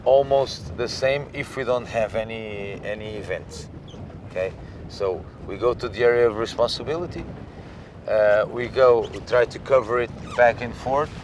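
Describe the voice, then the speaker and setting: Male coast guard interviewee, medium pitch, distant mic, clear boat and wind noise. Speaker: maritime coast guard officer. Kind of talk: documentary interview on patrol. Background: boat engine and wind.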